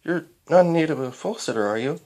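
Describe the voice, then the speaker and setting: A performer voicing a friendly, confident young female pony princess with a gentle, coaxing manner for a story. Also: medium pitch, lively intonation, quick pace, voice pushed up, lightly acted. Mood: polite.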